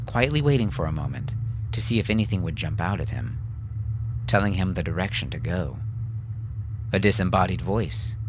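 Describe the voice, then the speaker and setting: A man's voice: deep voice, noisy background. A man reading scripted story narration as an audiobook narrator.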